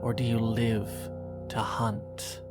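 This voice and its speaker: A performer playing a wistful, brooding narrator who addresses a former lover in slow, poetic, deliberate horror-drama narration. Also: mid-range voice, little pitch movement, loud music behind the voice, close to an everyday voice. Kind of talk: scripted second-person horror audio drama narration.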